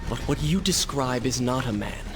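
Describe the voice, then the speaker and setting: An actor playing an earnest, reflective young warrior prince in a video game. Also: male voice, mid-range voice, lively intonation, moderate pace, music in the background, close to an everyday voice. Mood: brooding. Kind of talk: video game cutscene acting.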